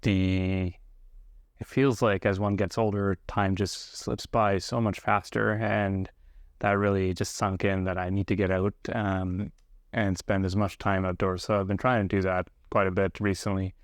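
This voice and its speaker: A middle-aged man talking reflectively and casually to viewers as a video host, unhurried and often pausing mid-sentence. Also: low voice, flat delivery, no echo, clean studio sound. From grew up partly in South Korea, living in Canada.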